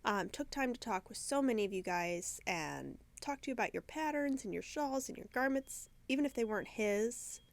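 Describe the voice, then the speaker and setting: A woman who owns a yarn shop hosts her video podcast, talking alone to the camera from the shop. She sounds croaky and congested from sinus trouble, and she rambles in a chatty, self-deprecating way. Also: a little high, animated, normal speed, hardly any pauses. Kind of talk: casual video podcast chat. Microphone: camera mic, talking alone to camera.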